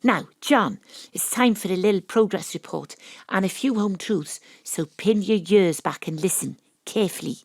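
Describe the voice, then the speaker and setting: A woman with a Welsh accent playing a brash, wry, tipsy private detective in an audio drama, deadpan on a close microphone. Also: mid-range voice, moderate pace, gravelly, no echo. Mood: blunt, confiding. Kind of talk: audio drama acting with first-person narration. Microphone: close home studio microphone.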